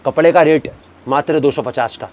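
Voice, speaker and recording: A man giving an emphatic sales pitch as a wholesale manufacturer, counting and listing items. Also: normal speed, darkish tone. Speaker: wholesale suit manufacturer and seller. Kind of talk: sales pitch to camera in a shop vlog.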